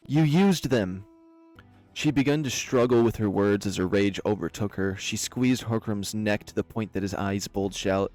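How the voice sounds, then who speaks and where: A man's voice: on the low side, a little mellow. A man playing a visual novel, narrating it dramatically and commenting casually as he goes. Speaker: game streamer, narrator.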